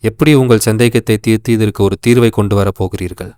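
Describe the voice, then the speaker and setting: A man's voice: fairly low pitch, steady tone, fast, dark tone, no room sound, natural voice. An actor voicing a trusting old king in a cartoon, speaking in a regal, formal way. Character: trusting elderly male king. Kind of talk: cartoon voice acting.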